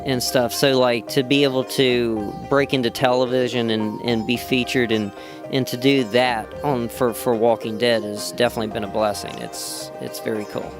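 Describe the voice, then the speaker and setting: A man's voice: medium pitch, steady tone, moderate pace. A man from West Virginia, an actor, answering interview questions at a fan convention in a rambling way full of "you know" fillers and restarts. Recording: music loud behind the voice. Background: music playing.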